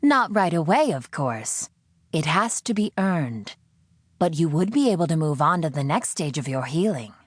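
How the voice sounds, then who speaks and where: A woman's voice: sits low, very animated, moderate pace, dry recording. A woman voicing a condescending, sanctimonious captor who interrogates a prisoner in an audiobook. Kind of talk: audiobook character acting.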